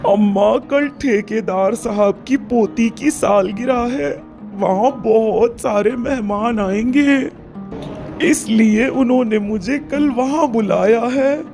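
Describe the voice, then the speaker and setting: A man's voice: very high-pitched, takes it slowly, a little mellow, heavily acted. A voice actor playing an eager, polite young male juice seller in a cartoon story. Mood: cheerful. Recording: cartoon voice-over with faint music.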